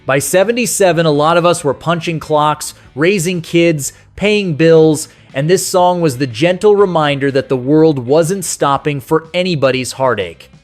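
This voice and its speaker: Higher-pitched man narrating a nostalgic countdown. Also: moderate pace, hardly any pauses. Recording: music playing behind the voice. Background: music.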